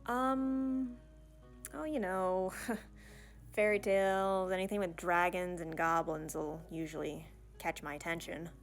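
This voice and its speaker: Woman playing an awkward, slow-talking young princess. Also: fairly low pitch, fairly even intonation, soft background music. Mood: shy, enthusiastic. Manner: hesitant, stammering, rambling, with casual modern phrasing. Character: awkward, nerdy, bashful young human princess. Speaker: audio roleplay performer. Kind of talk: ASMR romantic fantasy audio roleplay, one-sided dialogue.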